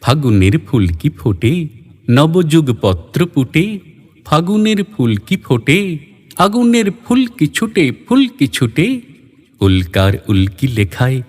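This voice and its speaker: A man reciting poetry in a dramatic, rhythmic, declamatory way. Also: a little high. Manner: rhythmic, declamatory, with rising refrains. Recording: long hall-like reverb.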